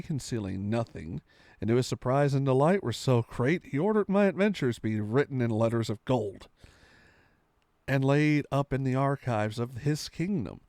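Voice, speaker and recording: A man's voice: mid-range voice, a little mellow, no echo, studio quality. A man reading a storybook aloud with dramatic, theatrical delivery, sometimes stumbling and correcting himself.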